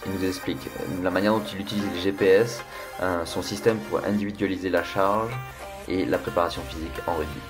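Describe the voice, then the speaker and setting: A man's voice: low-pitched, fairly even intonation, brisk, loud music behind the voice. A man hosting a podcast in a casual, hesitant way with fillers, introducing and interviewing a guest. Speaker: podcast host.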